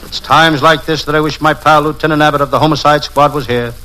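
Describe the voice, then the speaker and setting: An actor playing a wisecracking parody private detective, narrating gags deadpan in old-time radio comedy style. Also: male voice, on the high side, fast talker, natural voice. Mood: wry. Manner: deadpan gag-telling narration. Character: wisecracking hard-boiled parody private detective, adult man. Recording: noisy, crackling vintage radio recording.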